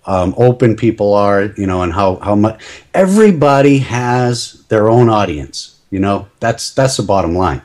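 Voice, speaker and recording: A man's voice: fairly low pitch, animated, moderate pace, darkish tone. Chatty, self-deprecating middle-aged man. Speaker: podcast guest. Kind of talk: casual interview chat.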